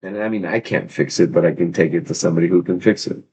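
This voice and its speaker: A man's voice: low voice, quick pace, a little mellow, slightly rough. A man hosting a casual livestream, rambling and swearing freely, with many fillers and restarts. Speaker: livestream host.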